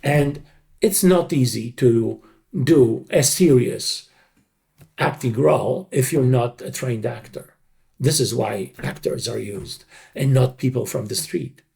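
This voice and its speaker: A man's voice: medium pitch, takes it slowly, warm tone. An older man with a tired, untrained voice, explaining his craft emphatically in an interview and repeating words for stress. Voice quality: creaky, untrained, slightly tired voice. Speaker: dubbing director.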